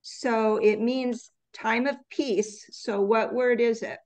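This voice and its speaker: A woman teaching an online English class over a video call, reading slides slowly and patiently correcting students. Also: fairly high pitch, steady tone, a little mellow. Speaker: English tutor.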